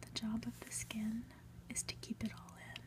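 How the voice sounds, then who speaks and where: A woman hosting ASMR, whispering gently as she reads a book aloud with casual asides. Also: a little high, completely flat delivery. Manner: gentle, unhurried, soft-spoken. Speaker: ASMR host.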